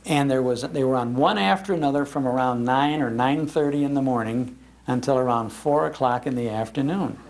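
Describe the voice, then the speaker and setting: Older American male speaker, creaky-voiced, for folksy nostalgic storytelling delivered live with emphasis. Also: medium pitch, fairly quick, a little mellow, low-quality recording. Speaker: speaker giving a talk on old-time radio. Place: public library talk before an audience.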